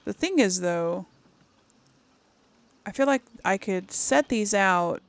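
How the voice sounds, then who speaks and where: Woman, gaming host, thinking aloud, unhurried, creaky voice, casual murmured commentary. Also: medium pitch, expressive, no echo. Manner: murmurs to self, reads item text aloud.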